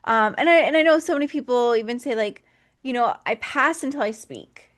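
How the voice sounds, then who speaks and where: A woman's voice: on the high side, brisk. A woman hosting a podcast interview, encouraging and upbeat as she asks questions. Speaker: podcast host. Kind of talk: casual interview talk.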